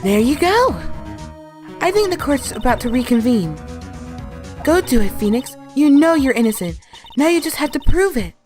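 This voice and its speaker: A woman playing a calm, encouraging ghostly mentor in a game fandub, coaxing as she speaks. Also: sits high, normal speed, pauses often. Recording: music clearly behind the voice.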